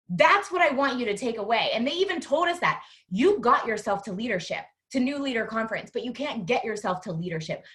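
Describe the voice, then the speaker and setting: A woman co-hosting a team video call, talking in a frank, animated, emphatic way. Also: fairly high pitch. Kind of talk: casual motivational team talk.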